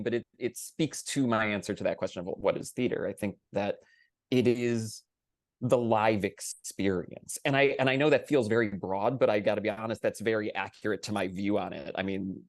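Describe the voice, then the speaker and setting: A man speaking earnestly as a panelist in a thoughtful discussion, sometimes halting as he builds his point. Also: fairly low pitch, no echo.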